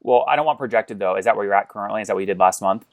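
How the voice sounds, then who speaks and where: Male podcast interviewer, low and quick, blunt and persistent, with fry in the voice and a dry close sound. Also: dry recording. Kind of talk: probing business interview.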